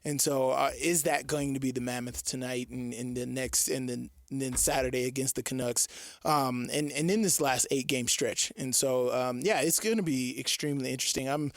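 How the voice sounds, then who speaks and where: Male sports talk co-host, medium pitch, casual, upbeat, halting and rambling, dry close mic. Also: fairly even intonation, dry recording. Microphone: close studio radio microphone.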